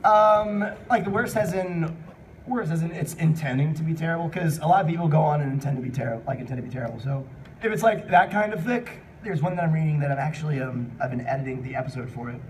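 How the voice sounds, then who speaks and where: Higher-pitched man hosting a live fan panel. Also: quick pace. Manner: rambling, conversational, jokey. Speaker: panel host answering audience questions. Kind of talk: casual unscripted live fan panel talk. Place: live convention panel room. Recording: distant mic in noisy live panel room.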